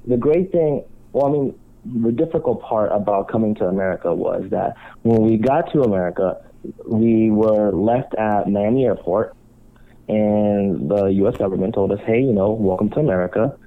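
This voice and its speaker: A man who was born in Cuba and works as a marriage counselor calmly tells his life story as a guest on a talk show. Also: on the low side, moderate pace. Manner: calm, reflective storytelling. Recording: tinny phone-line sound.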